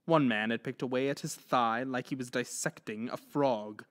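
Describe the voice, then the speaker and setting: A man's voice: mid-range voice, moderate pace. A man narrating a horror story in an intense, theatrical manner.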